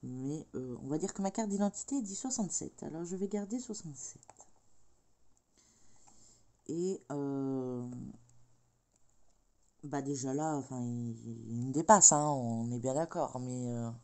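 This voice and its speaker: Middle-aged female crafter, mellow dark tone, a little stuffy from a cold, meandering chatty delivery. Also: a bass voice, a little slow, long pauses. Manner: rambling, hesitant, many filler sounds. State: has a cold. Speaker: craft vlogger. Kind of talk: casual chat while crafting.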